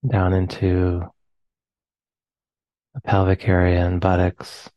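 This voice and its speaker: A man with an American accent, leading a guided meditation in slow, soft phrases with long pauses. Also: sits low, completely flat delivery, no room sound, muffled recording. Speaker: meditation teacher.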